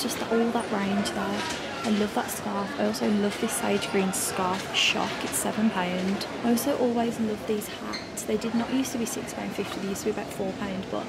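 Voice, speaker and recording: A young woman talks fast and gushes as she browses a big clothing store with a handheld camera. Her voice carries some vocal fry. Loud shop noise fills the background. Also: medium pitch, steady tone. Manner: chatty, gushing, enthusiastic. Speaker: fashion vlogger. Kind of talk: casual shopping vlog. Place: large busy clothing store indoors. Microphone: handheld camera mic.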